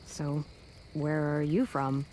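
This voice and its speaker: Wary, caring teen girl survivor for game drama: lowish, mellow, soft and plainspoken, faint outdoor noise. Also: little pitch movement, takes it slowly, low-quality recording. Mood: subdued. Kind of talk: naturalistic video game drama acting.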